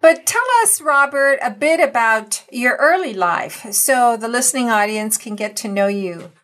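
A woman's voice: on the high side, lively intonation, a little slow, a bit bright. Earnest woman podcast host. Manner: earnest, encouraging, reads promos aloud. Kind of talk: scripted intro then interview chat.

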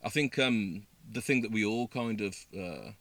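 A man with a Birmingham accent speaks in a very low voice. He talks casually about playing a role on stage, pausing with ums and restarting his sentences often. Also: expressive, fairly bright tone, dry recording. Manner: hesitant, many fillers and restarts. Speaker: actor in a stage play. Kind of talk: casual interview chat.

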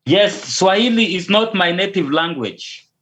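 A man's voice: sits high, lively intonation, measured pace, fairly bright tone. A Kenyan man and pastor, a native Luo speaker, answering interview questions hesitantly, often repeating and restarting words. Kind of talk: interview answers over a call. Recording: dull lo-fi audio.

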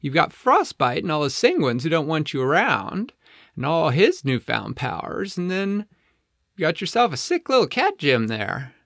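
A man's voice: fairly high pitch, wildly swooping pitch, normal speed, gravelly, fairly bright tone, dry recording, studio quality, pitched above the performer's own voice, exaggerated voice. A man voicing a friendly, easygoing male penguin in a children's story, chatty and helpful, with folksy hesitations. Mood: curious, casual. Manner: chatty, hesitant "uh" and "you know", reassuring.

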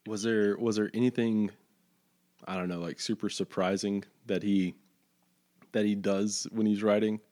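A man's voice: lowish voice, little pitch movement, moderate pace, darkish tone. A man hosting a podcast interview, asking rambling questions full of hesitations. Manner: hesitant, many fillers and restarts, rambling questions. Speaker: podcast host. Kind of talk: casual interview chat.

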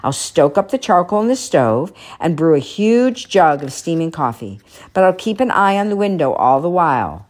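Woman reader voicing a kindly old male shoemaker, soft and musing, low-set voice that rises and falls a lot. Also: very animated, normal speed. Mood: wistful. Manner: gentle, wistful, musing.

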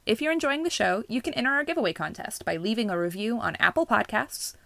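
Bright, creaky-voiced woman announcing a podcast. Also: fairly high pitch, expressive, quick pace, no room sound. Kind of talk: scripted credits narration.

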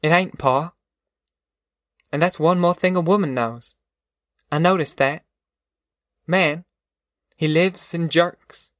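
A man voicing a soothing, plainspoken middle-aged farm mother in an audiobook, soft-spoken, with a rural Southern American accent. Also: fairly high pitch, slow pace, long pauses, dry recording, clean studio sound, pitched above the performer's own voice. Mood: soothing, assured. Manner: soft-spoken, folksy, reassuring. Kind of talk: audiobook character dialogue.

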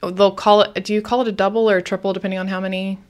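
A woman's voice: mid-range voice, little pitch movement, very fast, darkish tone. A woman co-hosting a podcast, speaking conversationally and putting questions to her partner. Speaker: podcast co-host.

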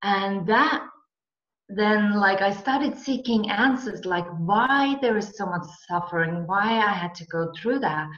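A woman's voice: mid-range voice, lively intonation, measured pace, fairly bright tone, reverberant, muffled recording. A woman from Finland with a Finnish accent, speaking as an interview guest and telling her personal story. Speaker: interview guest, coach and mentor.

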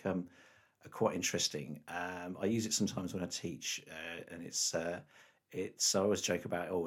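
Husky low-voiced playful male host. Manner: playful, jokey, full of fillers. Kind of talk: casual interview chat.

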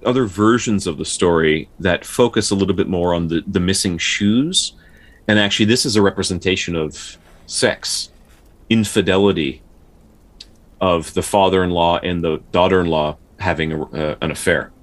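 Male podcast co-host, very low voice, casual but studious folklore explainer with a halting, word-repeating delivery. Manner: halting, with repeated words and stutters. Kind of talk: casual explanatory discussion of folktales.

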